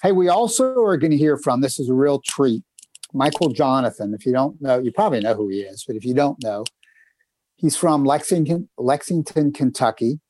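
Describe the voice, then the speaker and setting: A man's voice: mid-range voice, expressive, normal speed, dark tone, slight background noise. A man co-hosting a podcast, chatty and storytelling, full of fillers as he plugs upcoming segments. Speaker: co-host. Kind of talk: casual podcast chat. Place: home in northern Kentucky.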